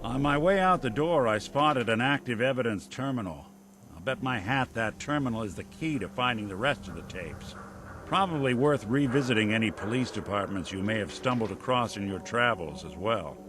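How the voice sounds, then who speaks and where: An actor playing a weary, determined middle-aged robot private detective in a video game, with a wry, hard-boiled noir way of talking. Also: male voice, medium pitch, expressive, normal speed, noisy background, close to an everyday voice. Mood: businesslike.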